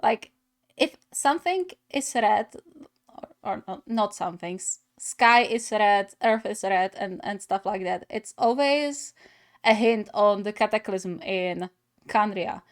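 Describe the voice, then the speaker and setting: A woman's voice: medium pitch, lively intonation, a little slow. A woman giving casual reaction commentary as host of a gaming video, thinking aloud hesitantly.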